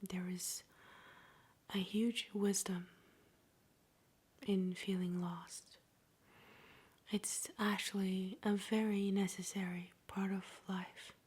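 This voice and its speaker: A woman guiding an ASMR meditation in a soft, breathy whisper with a gentle, soothing delivery. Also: medium pitch, little pitch movement. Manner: slow, gentle, soothing delivery with long pauses.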